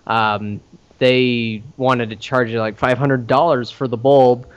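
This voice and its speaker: A man's voice: mid-range voice, steady tone, slow, clear, resonant tone. A man co-hosting a podcast, explaining things in a matter-of-fact way in casual, unscripted shop talk. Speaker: podcast co-host, arcade operator. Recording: dull lo-fi voice recording.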